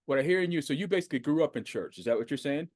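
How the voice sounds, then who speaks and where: A man in his fifties from the United States, hosting a faith podcast and talking in an animated, conversational way with plenty of fillers and restarts. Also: a little high, racing, no echo, clean studio sound. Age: 50s. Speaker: podcast host. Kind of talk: casual interview.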